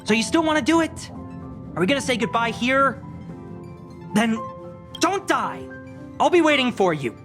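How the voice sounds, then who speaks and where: A woman's voice: high voice, very animated, normal speed, long pauses, over music. A woman voicing a feisty young female pilot in a video game, flustered and stammering as she hides that she cares. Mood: grudging fondness.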